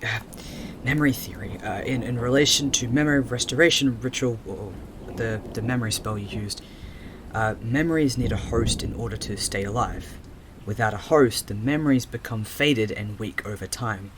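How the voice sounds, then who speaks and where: Man playing a hesitant, low-voiced young man. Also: noisy background, natural voice. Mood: absorbed, pondering. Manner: halting, stammering, thinking aloud. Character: Rayne, hesitant young man with fractured memories. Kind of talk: audio roleplay drama acting. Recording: music under the voice.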